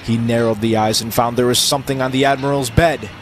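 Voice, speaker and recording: A man's voice: mid-range voice, fairly even intonation, fast talker, a little hiss or hum. A man narrating an amateur pirate story as a theatrical dramatic reading. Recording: music playing behind the voice. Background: music.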